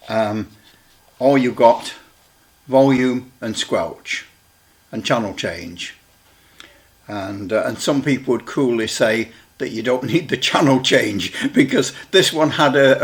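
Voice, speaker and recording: Halting man casually demonstrating equipment. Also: medium pitch. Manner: halting, rambling, repeats himself. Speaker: radio hobbyist presenter.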